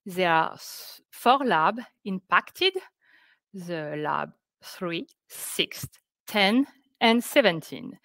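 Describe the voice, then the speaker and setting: A woman presenting scientific results on a webinar, speaking careful, halting English. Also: fairly low pitch, expressive, fairly bright tone, dry recording. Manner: careful, halting non-native English delivery. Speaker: researcher presenting project results. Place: indoors on a video call.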